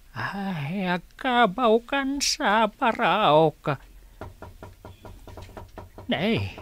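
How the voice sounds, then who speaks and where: An elderly radio-drama father who pretends to be muddled. He drags his words out very slowly and querulously, and his pitch rises and falls in a lively way. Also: exaggerated voice. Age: older. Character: elderly father feigning senility, stubborn and muddled.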